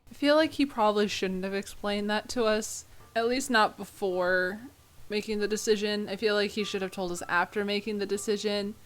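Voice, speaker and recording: A woman's voice: mid-range voice, steady tone, normal speed. A woman giving casual commentary while playing a game. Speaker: player reacting to game.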